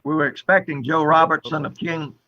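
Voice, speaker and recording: A man's voice: a little high, steady tone, brisk, pushed and bright. A man addressing a board politely and formally as a representative, speaking over a remote call. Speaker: applicant's representative. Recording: dull remote call audio.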